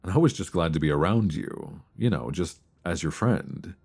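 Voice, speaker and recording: A man playing a tender, nervous longtime friend confessing love in an intimate ASMR romance roleplay, with a deep voice and hesitant, earnest delivery, close to a binaural mic. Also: expressive, gravelly, breathy edge, full character voice. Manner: hesitant, soft, earnest, with sighs and pauses. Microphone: close binaural microphone.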